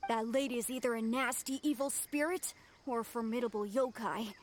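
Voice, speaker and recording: Woman voicing a high-pitched, earnest little creature. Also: fairly even intonation, moderate pace, slight background noise, natural voice. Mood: hesitant, worried. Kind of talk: anime video game voice acting. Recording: faint music behind the voice.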